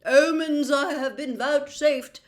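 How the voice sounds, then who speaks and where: A haughty older lady seer from a storybook. The voice sits high and shrill, and it drags words out very slowly with theatrical, mystical weight. She hems defensively, as if her dignity is at stake. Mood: evasive, defensive. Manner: shrill, drawn-out, dramatic, hesitant hemming.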